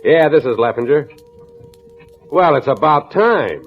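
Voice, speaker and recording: An American actor playing a hard-boiled, impatient police captain who badgers with gruff, clipped questions in an old-time radio crime drama. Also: male voice, a little high, lively intonation, a little slow, faint music behind. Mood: irritated. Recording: tinny, band-limited vintage radio recording.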